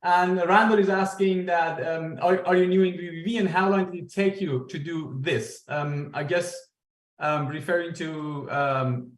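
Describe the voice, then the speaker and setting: A man's voice: on the high side, fairly even intonation, a little slow. A man hosting a meetup on a video call, friendly and laughing often while reading out the chat. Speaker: meetup host. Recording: echoey video call audio.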